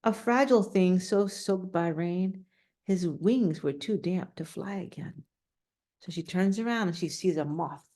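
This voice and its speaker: A woman's voice: fairly low pitch, a little slow, warm tone. A woman reading a children's storybook aloud, adding casual, simple explanations and questions for young listeners. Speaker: storybook reader.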